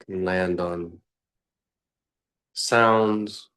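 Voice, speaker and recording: Deep, slow-speaking man guiding meditation. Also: fairly even intonation, a bit bright, smooth. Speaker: meditation teacher. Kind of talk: guided meditation, unscripted and reflective.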